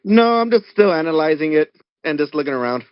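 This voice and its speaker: A man's voice: fairly high pitch, animated, fast talker, smooth, no echo. A man playing a tabletop role-playing game, answering casually in brief, understated phrases. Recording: dull lo-fi audio.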